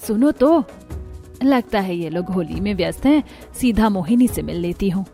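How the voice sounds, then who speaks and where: Woman acting a soft, gentle middle-aged mother. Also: medium pitch, animated, normal speed, soft background music, natural voice. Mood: fond, warm. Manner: soft, affectionate. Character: gentle middle-aged mother of married daughters, fond, easily hurt. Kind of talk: Hindi cartoon story dialogue.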